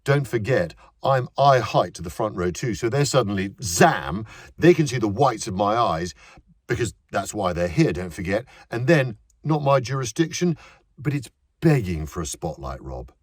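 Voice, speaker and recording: A man voicing a self-important middle-aged male stage actor who talks in fussy, halting bursts. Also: lowish voice, sing-song, slightly rough, dry recording, studio quality, pitched above the performer's own voice.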